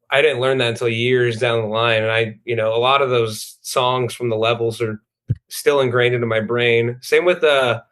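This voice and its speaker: A man's voice: mid-range voice, fairly bright tone. A young man chatting as a band guest, easygoing and hesitant with lots of "uh" and "you know". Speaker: musician guest. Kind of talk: casual chat.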